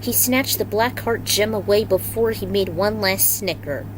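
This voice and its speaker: A woman's voice: medium pitch, moderate pace, a little hiss or hum, low-quality recording. A woman reading scripted fiction aloud as the narrator.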